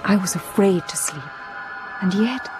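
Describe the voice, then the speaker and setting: Audio drama diarist female actor: mid-pitched, fearful, deliberate reading with clear music underneath. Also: lively intonation, close to an everyday voice, poor audio. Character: fearful young woman narrating her written account.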